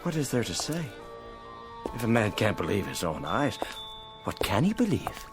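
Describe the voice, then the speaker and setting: A man's voice: on the low side, sing-song, normal speed, over music. An actor playing a genial, tipsy policeman in a radio drama. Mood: musing. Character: genial, tipsy Irish policeman heading home.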